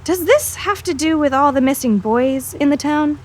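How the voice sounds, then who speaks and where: Woman playing a high-voiced, pushy teen girl. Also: lively intonation, normal speed, a little mellow. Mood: curious, eager. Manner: emphatic, insistent, sassy. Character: Sally Greer, pushy, earnest teenage girl student journalist. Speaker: voice actor. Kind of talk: audio drama acting.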